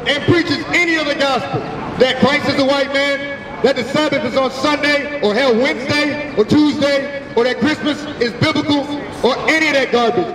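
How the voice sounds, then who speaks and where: Street preacher, man, high voice, commanding call-and-response, echoey street with a crowd, caught from far off. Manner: forceful call-and-response questioning. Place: city sidewalk outdoors.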